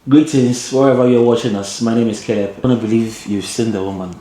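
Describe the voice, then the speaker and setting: A man's voice: mid-range voice, steady tone, a little fast, warm tone, a little hiss or hum. A man hosting a conversational interview, repeating words as he phrases his questions. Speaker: interview host. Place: guest's home, indoors. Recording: roomy indoor echo.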